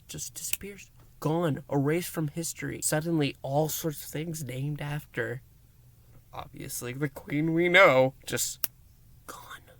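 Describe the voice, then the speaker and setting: A man hosting a review, recapping a story in a theatrical, emphatic way with dramatic pauses and hushed words. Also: medium pitch. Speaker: podcast review host.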